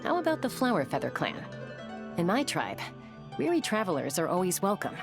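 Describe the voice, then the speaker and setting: A confident game tribeswoman, low in pitch but lively in intonation. She is welcoming and decisive, with music behind her words. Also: a little fast. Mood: reassuring. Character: confident, welcoming young tribeswoman, reassuring leader type.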